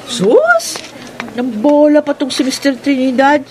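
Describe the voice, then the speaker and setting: Teasing young schoolgirl, highish voice, noisy track. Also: huge pitch swings, a little slow, darkish tone, heavily acted. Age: young adult. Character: young female student, teasing and playful. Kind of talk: radio drama acting. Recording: noisy radio drama recording.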